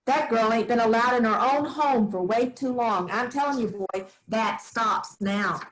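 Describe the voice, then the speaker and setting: Lady reading a tough granny, bossy and folksy, kinda high pitched, staged reading. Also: a little slow, lightly acted, poor audio. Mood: stern. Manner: folksy, firm, drawling country talk. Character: stern rural grandmother, family matriarch, firm.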